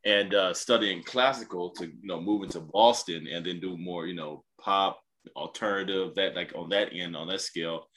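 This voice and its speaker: A man's voice: deep voice, moderate pace, fairly bright tone. A man interviewing a musician, enthusiastic and casual, stumbling over his words as he asks questions. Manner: enthusiastic, stammering restarts, casual slang.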